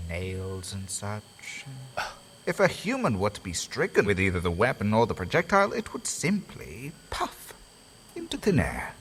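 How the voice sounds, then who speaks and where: An actor playing a theatrical, courtly host who runs a haunted asylum in an audio drama, speaking in a grand, sweeping manner. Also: male voice, fairly low pitch, fairly bright tone, a little hiss or hum, heavily acted, rough recording. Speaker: asylum owner character. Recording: faint music underneath.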